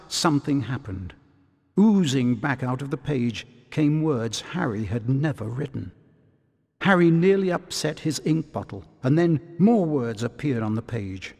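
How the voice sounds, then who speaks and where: A man narrating a video game story like a storybook, in a dramatic, deliberate manner. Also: a little high, creaky, long reverb tail.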